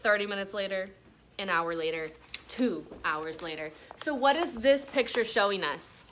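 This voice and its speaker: Lady teacher doing a classroom read aloud, bright voice kinda high, explains and asks questions, some room noise behind. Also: moderate pace. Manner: expressive, instructional, checks in with questions. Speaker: elementary school teacher.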